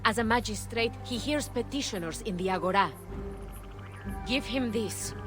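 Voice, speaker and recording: An actress playing a compassionate healer in a video game, speaking gravely and earnestly. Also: female voice, on the high side, normal speed, over music, close to an everyday voice. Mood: solemn, caring. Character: compassionate, earnest woman healer, troubled by war. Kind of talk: dramatic video game acting.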